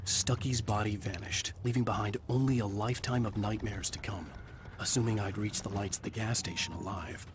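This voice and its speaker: Casting: actor as haunted male writer, low voice, somber measured first-person game narration. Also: steady tone, background noise, lightly acted. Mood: somber, brooding. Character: brooding haunted male writer narrating his own nightmare. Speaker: narrator protagonist of a thriller game.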